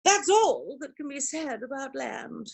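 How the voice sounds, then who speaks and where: A woman playing an imperious, snobbish older aristocratic mother in a theatrical comedy reading, speaking with pompous, deliberate emphasis. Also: sits high, very animated, gravelly, heavily acted. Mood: lofty, opinionated. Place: virtual performance from home in isolation.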